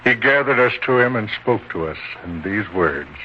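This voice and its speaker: An actor playing a kindly, authoritative older disciple in an old-time radio drama, speaking solemnly and deliberately. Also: male voice, on the low side, expressive, lightly acted. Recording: dull, noisy old-time radio recording.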